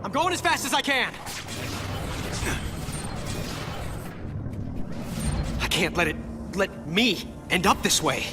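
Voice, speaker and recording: A man's voice: high voice, very animated, moderate pace, music in the background. A man playing a young, quippy, sarcastic superhero in a video game, nervous but plucky. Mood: wry under pressure.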